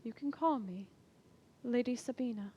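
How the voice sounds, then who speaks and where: A woman voicing a calm, mysterious noblewoman who speaks softly and gently. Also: medium pitch, normal speed, muffled recording, lightly acted. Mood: soothing. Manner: hushed, soft and gentle.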